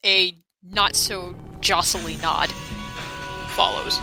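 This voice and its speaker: A woman's voice: fairly low pitch, steady tone, slow, music in the background. A woman playing a tabletop game, casually talking through what her character does.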